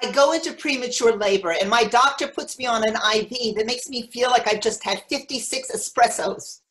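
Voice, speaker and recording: A woman's voice: on the high side, brisk, natural voice, rough recording. A woman playing a wry, exasperated mother in labor, telling her story in a staged monologue reading. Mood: wry exasperation. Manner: animated storytelling, quoting others. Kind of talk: dramatic monologue reading for readers theatre. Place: remote reading over video call. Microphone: video call.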